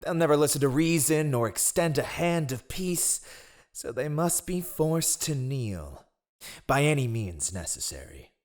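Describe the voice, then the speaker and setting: A sly and ruthless royal spy master , played by a male voice actor, in a fantasy audio drama. His silky, formal speech swings widely in pitch, sardonic and mockingly courteous. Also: sing-song, unhurried. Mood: cold, vengeful. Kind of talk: fantasy audio roleplay acting.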